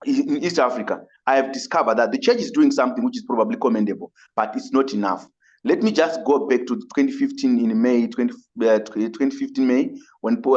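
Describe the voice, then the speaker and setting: Zimbabwean man, migrant advocate on a webinar, earnest and halting, mid-range voice, muffled call audio. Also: mid-range voice, a little mellow. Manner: earnest, halting, repeats and rephrases as he goes. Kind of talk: webinar audience comment.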